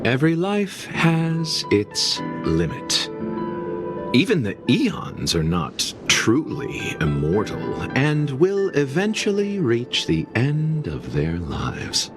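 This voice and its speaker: A secretive, impeccably polite travelling merchant from a video game. His pitch swoops dramatically up and down while he speaks very slowly, formal and evasive, over clear background music. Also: huge pitch swings. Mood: musing, philosophical. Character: courteous, secretive male merchant delivering a coffin. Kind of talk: scripted video game dialogue.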